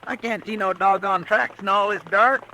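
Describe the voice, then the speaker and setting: Chatty rustic sidekick fella, a bit high, drawly, frets a lot. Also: male voice, steady tone, normal speed, pushed and bright, a little hiss or hum, exaggerated voice. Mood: fretful. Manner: drawling, rustic country talk. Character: folksy, fretful sidekick deputy, talkative. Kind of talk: 1950s radio drama acting.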